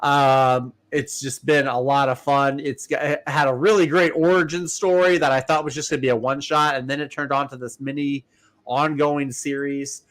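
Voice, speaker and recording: A man co-hosting a casual comics talk show, sounding a little stuffed up from a cold, chatty and quick to laugh. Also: mid-range voice, fairly even intonation, fairly bright tone. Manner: chatty, laughs often, many fillers. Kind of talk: casual chat.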